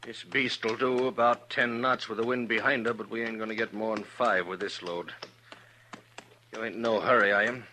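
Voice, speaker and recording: A man's voice: lowish voice, fairly even intonation, normal speed, pushed and bright. An American man playing a weary, folksy Old West drifter in an old-time radio western, with rustic, laconic speech. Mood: dry, folksy. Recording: noisy vintage radio recording.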